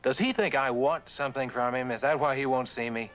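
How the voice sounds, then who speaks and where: An actor playing an anxious, insistent professor in an earnest, pressing manner, in a vintage suspense drama. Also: male voice, medium pitch, a little fast, a bit bright, faint music behind, natural voice. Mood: anxious, pleading. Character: insistent, anxious professor seeking an old college friend. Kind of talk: mid-20th-century suspense drama acting.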